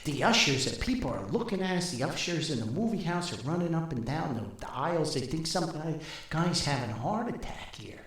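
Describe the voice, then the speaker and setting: Echoey, animated older male storyteller. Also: fairly high pitch, brisk. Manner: folksy, chuckles often, acts out dialogue. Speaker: podcast host. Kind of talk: humorous anecdotal storytelling. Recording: very roomy, echoing sound.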